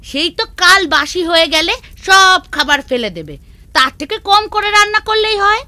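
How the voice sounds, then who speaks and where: A woman's voice: extremely high pitch, very animated, moderate pace, sharp tone, no room sound, full character voice. A woman voicing a cheeky, grumbling housemaid who whines and talks back, in a children's cartoon. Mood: indignant. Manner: whiny, back-talking.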